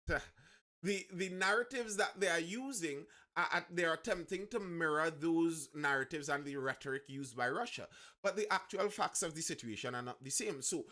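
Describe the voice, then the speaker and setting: Male live-stream host, Trinidadian accent, bright forward voice, slightly high pitch, conversational news commentary. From Trinidad and Tobago.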